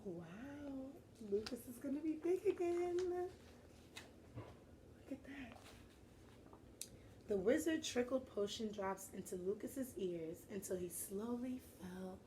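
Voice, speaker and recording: A woman reads a children's story aloud like a teacher. Her pace is unhurried and her tone mellow. She is encouraging and stops to pose questions, with faint noise behind her. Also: medium pitch, low-quality recording. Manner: encouraging, pauses to question young listeners. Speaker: teacher reading a picture book aloud to children. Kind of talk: animated read-aloud with teaching asides.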